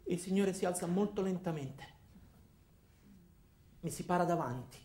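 A man narrating a first-person comic monologue as an actor, in a deadpan, terse manner. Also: high-pitched, normal speed, roomy sound.

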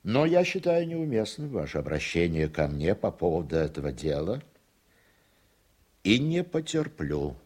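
A firm, dignified man of office in a radio drama. His voice is low and rough, with lively intonation. He is stern and formal, refusing to be pushed. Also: measured pace, exaggerated voice. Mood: stern, offended. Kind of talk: Russian radio play acting.

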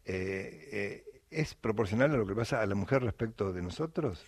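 A man with an Argentine accent conducts a conversational interview in a fairly low voice. He builds his questions haltingly and tends to repeat short phrases. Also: lively intonation, breathy edge.

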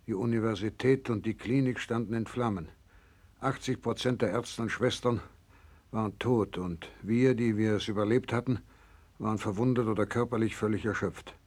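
An actor playing a grave, resolute doctor who survived a disaster, in a 1960s German radio play, solemn when narrating and commanding when giving orders. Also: male voice, fairly low pitch, breathy edge. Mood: solemn, remembering. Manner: solemn measured narrating, urgent commanding in dialogue. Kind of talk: 1960s German radio drama acting, first-person narration.

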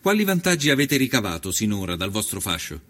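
An educated journalist in a historical radio documentary. His male voice is on the low side. He probes in formal, measured phrases. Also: sing-song, a bit bright. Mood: inquiring. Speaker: interviewer. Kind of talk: dramatized documentary interview.

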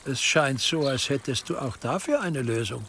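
An actor playing a cautious, authoritative prince-bishop, speaking in a measured, formal way in a German historical radio drama. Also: male voice, medium pitch, animated, fairly bright tone, a little hiss or hum. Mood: measured, questioning.

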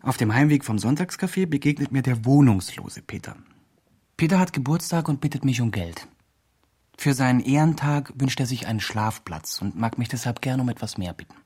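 Earnest charity guy narrating a radio play, medium pitch, bit raspy, proper phrasing. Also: expressive, fairly quick, darkish tone. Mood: earnest, helpful. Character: earnest socially engaged male fundraiser telling his story. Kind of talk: scripted first-person radio play narration.

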